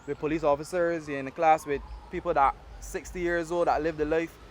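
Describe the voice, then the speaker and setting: A young Barbadian man with a Barbadian accent, an activist telling his story in a casual, animated interview. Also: fairly high pitch, a little fast, a little hiss or hum. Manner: animated storytelling in Caribbean dialect. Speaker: award-winning activist guest.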